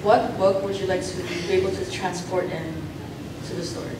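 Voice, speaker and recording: A man's voice: high-pitched, fairly even intonation, fairly quick. A man in the audience informally asking a panel guest a question. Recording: quiet, distant, echoey noisy hall.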